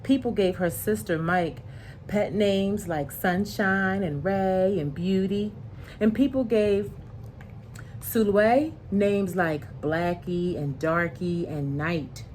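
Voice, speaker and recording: A woman reading a picture book aloud to young children in an expressive, deliberate way, pausing to explain things in simple words. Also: mid-range voice, slight background noise.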